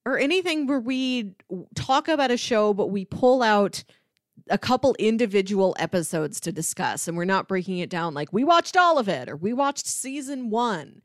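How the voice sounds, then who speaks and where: A woman's voice: medium pitch, animated, moderate pace, no echo, clean studio sound. A woman co-hosting a podcast, talking in a casual, conversational way. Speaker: podcast co-host.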